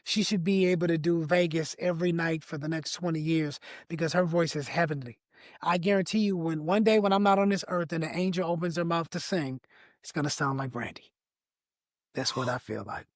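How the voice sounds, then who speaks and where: A man with a raspy voice, a singer guest on a podcast, telling stories and praising artists with emphatic, effusive energy. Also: fairly high pitch, a little fast, no echo, clean studio sound. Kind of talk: casual podcast conversation.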